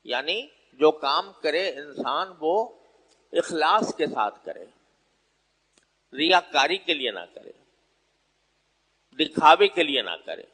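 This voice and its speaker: A man delivers a religious sermon in a somewhat high-pitched voice that rings out with long hall reverb. He explains formally and humbly, setting out his points in short, deliberate phrases with pauses between them. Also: expressive, pushed and bright, slightly rough. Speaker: Islamic preacher addressing listeners. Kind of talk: sermon, unscripted religious exposition.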